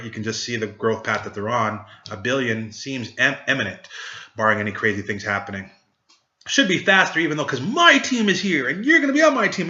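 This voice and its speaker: Jokey, upbeat recruiter man from Trinidad; bright tone, echoey lo-fi room. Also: mid-range voice. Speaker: network marketing recruiter. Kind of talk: casual sales pitch to camera.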